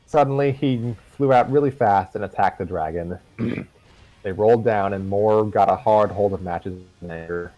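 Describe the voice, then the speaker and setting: A man's voice: lowish voice, normal speed, warm tone, dry recording, muffled recording, faint music behind. A man reading a fan story aloud on a livestream, casually, with stumbles and asides.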